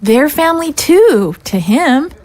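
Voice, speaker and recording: A woman as a hesitant, high-voiced young girl. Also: sing-song, takes it slowly, a little mellow, full character voice. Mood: worried. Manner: hesitant, faltering. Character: hesitant, worried young girl guarding zombie prisoners. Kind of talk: audio drama acting.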